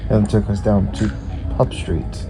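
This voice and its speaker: A man's voice: fairly low pitch, fairly even intonation, unhurried. A man narrating a travel vlog in a casual, conversational style. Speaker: travel vlogger. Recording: music playing behind voice. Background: music.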